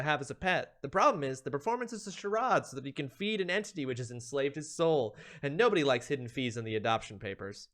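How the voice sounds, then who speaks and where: A man hosting a stream, giving a playful, theatrical reading of a comedic ranked list with emphatic delivery. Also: on the high side. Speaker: streamer, host.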